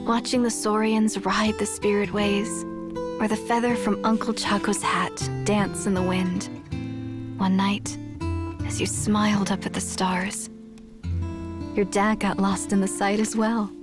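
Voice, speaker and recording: A woman playing a devoted young mother in a game, speaking gently and wistfully in a dramatic monologue. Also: mid-range voice, level tone, measured pace, over music, close to an everyday voice. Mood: tender, wistful. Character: tender, devoted young mother addressing her baby.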